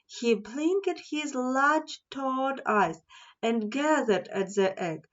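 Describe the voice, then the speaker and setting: A woman narrating a scripted children's story as an audiobook reader, deliberate and expressive. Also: high voice, highs cut off.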